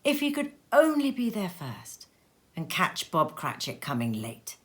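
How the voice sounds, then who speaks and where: A woman narrating a classic tale as an expressive storybook reading at home, lively and theatrical, with many changes of voice. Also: fairly low pitch, unhurried. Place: cozy sitting room at home.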